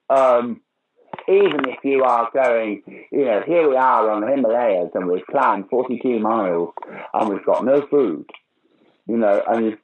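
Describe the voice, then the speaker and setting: A man's voice: mid-range voice, narrow, tinny audio, a little hiss or hum. An older British man, a pantomime dame actor, telling stage stories in a casual interview. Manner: storytelling, animated anecdotes.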